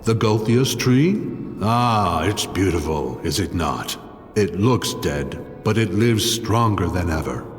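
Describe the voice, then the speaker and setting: A man's voice: sits low, lively intonation, takes it slowly. An actor playing a mad, haughty outcast druid villain in a fantasy audio drama, pompous and mocking in his theatrical delivery. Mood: proud, fanatical. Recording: long reverb, music underneath.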